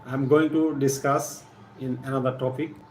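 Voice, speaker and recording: A man with a Bangladeshi accent giving a live chemistry lesson, partly reading aloud in a halting, deliberate manner, repeating phrases. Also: medium pitch, flat delivery. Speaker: science teacher hosting a live stream. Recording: echoey room with faint background noise.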